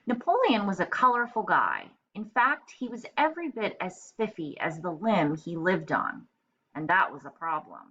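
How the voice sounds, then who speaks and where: A woman with a fairly low voice reads a children's story like a teacher. She thinks aloud in simple, instructive asides. The recording is slightly dull and lo-fi. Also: big pitch swings, normal speed, fairly bright tone, breathy edge. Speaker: teacher reading aloud to pupils. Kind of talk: storybook narration with explanatory asides.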